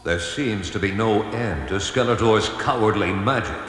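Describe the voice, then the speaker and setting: An actor playing a brave, earnest barbarian hero, declamatory and stalwart, in a children's audio storybook. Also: male voice, on the low side, normal speed, lightly acted. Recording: echoey reverberant hall, loud music behind.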